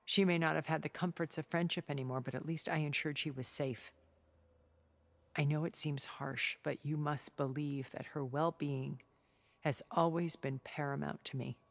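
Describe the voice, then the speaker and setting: An actress playing a poised, secretive, long-lived woman in a video game cutscene, speaking in a measured, formal, eloquent way. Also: sits low, fairly even intonation. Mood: regretful, earnest. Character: poised, secretive thousand-year-old woman, refined space colonist.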